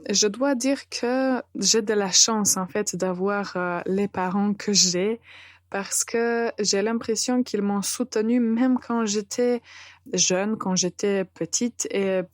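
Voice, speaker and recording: A woman in her thirties with a Serbian accent talks through a podcast lesson in a calm, deliberate way and pauses often to hesitate. She speaks very slowly into a close microphone in a dry room with no echo. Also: mid-range voice. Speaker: French teacher and podcast host.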